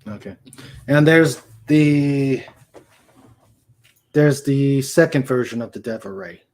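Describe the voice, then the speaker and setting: A man chatting casually as co-host of a livestream, halting and often searching for his words. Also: mid-range voice, darkish tone.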